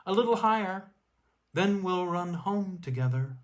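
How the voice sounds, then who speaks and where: A man's voice: expressive, unhurried. A man voicing an alluring young female snow spirit who teases and beckons in a storybook reading. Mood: teasing, coaxing. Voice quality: low, whispering, soft.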